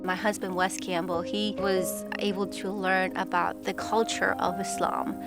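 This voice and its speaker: A woman's voice: medium pitch, flat delivery, measured pace. A young woman from Pakistan giving an earnest, reflective personal testimony. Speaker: testimony speaker. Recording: music bed underneath.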